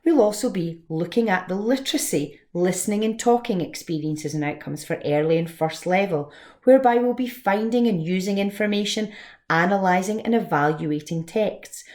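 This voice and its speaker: A woman's voice: mid-range voice, expressive, normal speed, a little mellow. A woman giving a scripted educational presentation as a lesson presenter. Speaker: teacher presenting a read-along lesson.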